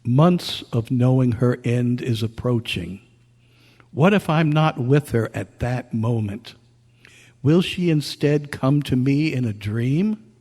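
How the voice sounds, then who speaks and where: A man playing a bereaved grown son, narrating in a measured, solemn, reflective way, as in old-time radio drama. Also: medium pitch, a little mellow. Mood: solemn, anxious. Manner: measured, solemn, deliberate phrasing. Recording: long hall reverberation.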